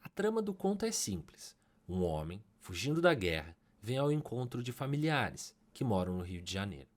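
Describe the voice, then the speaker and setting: A man with a Brazilian Portuguese accent narrating a scripted literary analysis in a measured, academic way, with emphases that rise on key ideas. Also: lowish voice, very animated.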